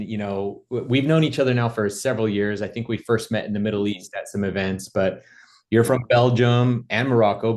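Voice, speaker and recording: A man hosting a casual interview podcast, friendly and enthusiastic, reacting quickly to his guest. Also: fairly low pitch, darkish tone. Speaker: podcast host.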